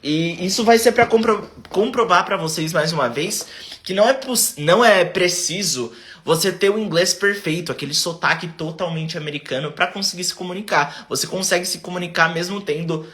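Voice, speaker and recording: Bright-voiced Brazilian man hosting a live stream. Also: sits high, moderate pace. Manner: chatty, switches between Portuguese and English. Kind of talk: casual live-stream chat. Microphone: phone on a tripod.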